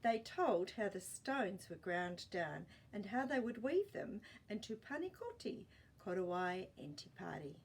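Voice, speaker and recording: A woman's voice: medium pitch, sing-song, unhurried, few pauses, husky. A woman reading a children's story expressively, with playful asides to listeners.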